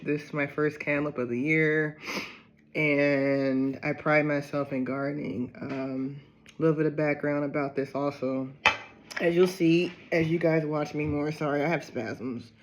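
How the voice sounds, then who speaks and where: Man, gardening vlogger, high-pitched, chatty and rambling, tired. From Ohio, USA. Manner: chatty and rambling, often breaks into excited exclamations. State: low on energy, tired. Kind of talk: casual chat to camera.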